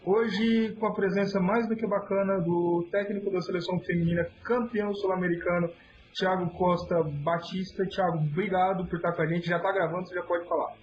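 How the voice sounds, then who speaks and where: High-voiced chatty man host. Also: steady tone, fairly quick, highs cut off, slight background noise. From Santa Catarina, Brazil. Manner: chatty, friendly, rambling questions. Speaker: podcast host. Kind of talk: interview questions.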